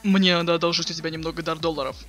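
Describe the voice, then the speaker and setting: A sardonic, slangy teenage boy voiced by a man from a webcomic dub. He rattles lines off quickly in a highish, sharp voice. He is impatient and too cool to care. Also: flat delivery, soft background music, lightly acted. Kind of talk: dramatic reading of a webcomic chat.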